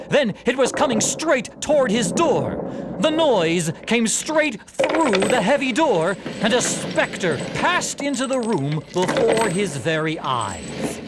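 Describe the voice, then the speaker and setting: Female storyteller for audio drama; theatrical, suspenseful, lively intonation, with clear background noise behind the voice. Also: deep voice. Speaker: narrator. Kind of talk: dramatic scripted storytelling narration.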